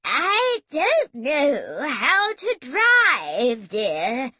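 A bossy old granny superwoman from a children's storybook. Her voice quavers and drags slowly, with a bright, forceful edge. She sounds placid yet menacing, and it is recorded dry. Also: sits high, wildly swooping pitch, no echo, pitched above the performer's own voice, heavily acted. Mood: protesting. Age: older. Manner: slow, drawn-out, placid.

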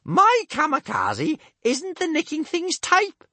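A man voicing a loud, blustering Viking woman in a storybook reading. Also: extremely high pitch, wildly swooping pitch, takes it slowly, no room sound, voice pushed up, full character voice. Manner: bellowing, blustering. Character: bellowing Viking woman chief.

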